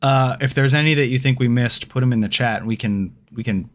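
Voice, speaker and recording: A man's voice: mid-range voice, brisk, fairly bright tone. A man hosting a casual chat show about video game films, joking around in loose slang. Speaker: show host.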